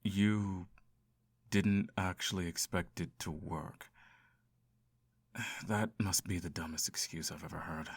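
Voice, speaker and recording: A low-voiced male incubus, acted out by a man, speaks close and dry with no room sound. He is a lust demon with a sardonic, seductive temper and a theatrical, long-suffering manner, made for an ASMR fantasy roleplay. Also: steady tone, slow, gravelly, pauses often, husky, no room sound, heavily acted. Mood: incredulous, exasperated. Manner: theatrical, sighing, dry sarcasm.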